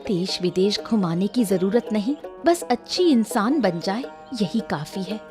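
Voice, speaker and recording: Lady playing earnest village mom in a cartoon, mid-pitched, gently scolding, faint music. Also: animated, measured pace, close to an everyday voice. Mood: tender. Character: village wife and mother, earnest, gently reproving. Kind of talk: animated moral story acting.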